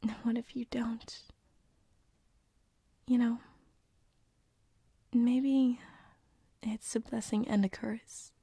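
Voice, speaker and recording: A woman voicing a gentle, lovesick succubus sleep paralysis demon in a whispered ASMR roleplay, soft, hesitant and tender, close to a binaural microphone. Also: on the high side, little pitch movement, very slow, long pauses, no echo, full character voice. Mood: wistful, shy. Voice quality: breathy whisper. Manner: soft, hesitant, tender, with breathy giggles and sighs.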